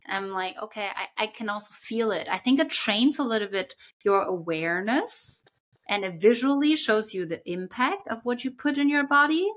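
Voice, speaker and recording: A young woman with a bright, forward voice talks through ideas in a relaxed podcast chat. She explains at length, pausing often with ums while she finds her words. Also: a little high. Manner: thoughtful, explains at length with many fillers. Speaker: podcast co-host. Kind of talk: casual chat.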